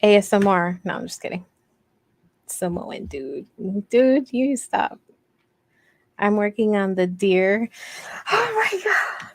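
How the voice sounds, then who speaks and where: A woman with a soft voice, hosting a casual craft livestream in a rambling, playful, self-deprecating way as she answers viewer comments. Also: mid-range voice, sing-song, slow pace, long pauses, no room sound, clean studio sound. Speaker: host of a crochet livestream.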